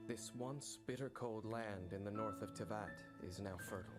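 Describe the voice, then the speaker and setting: A narrator reading a scripted game character showcase in a measured, storytelling explainer style. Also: medium pitch, music in the background, lightly acted.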